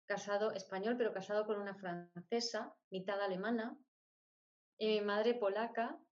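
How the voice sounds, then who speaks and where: A dull, lo-fi recording of a middle-aged woman with a mid-pitched voice. She is an interview guest telling personal stories, stacking short phrases and repeating them for emphasis. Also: moderate pace. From born in Spain, grew up moving between countries. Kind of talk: personal storytelling in conversation. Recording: dull, lo-fi audio.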